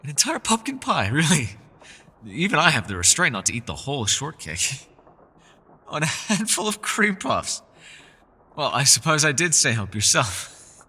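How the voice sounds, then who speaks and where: A man's voice: mid-range voice, bright, forceful tone, breathy edge, long reverb tail, exaggerated voice. A man playing a mysterious, scholarly elf in a fantasy roleplay, with a refined, theatrical and teasing manner. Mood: amused, teasing. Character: mysterious, scholarly male elf, playful yet guarded. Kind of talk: fantasy ASMR roleplay, scripted character acting.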